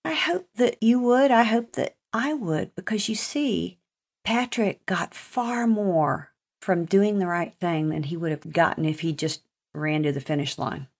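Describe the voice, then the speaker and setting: American female author-host, lowish voice, earnest, emphatic, talking encouragingly to young listeners. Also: unhurried. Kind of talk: life-lesson talk for children.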